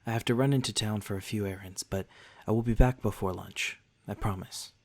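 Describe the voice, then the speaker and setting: A man playing a courteous, protective vampire lord in a soft-spoken ASMR roleplay, with formal, genteel wording and a gentle, reassuring manner. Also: on the low side, brisk. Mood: protective, tender. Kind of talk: ASMR roleplay, speaking softly to the listener.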